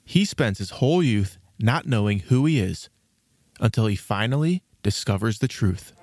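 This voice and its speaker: A man's voice: fairly low pitch, animated, normal speed, a little mellow. A man hosting and narrating a scripted children's storybook programme in a theatrical storytelling manner. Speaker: host and narrator.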